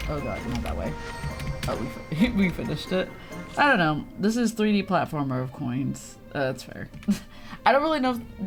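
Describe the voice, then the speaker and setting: A woman livestreaming a video game, chatting casually with viewers in a playful, exclamatory way and muttering to herself as she plays. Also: lowish voice. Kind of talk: casual gaming chat with viewers, reacting to play.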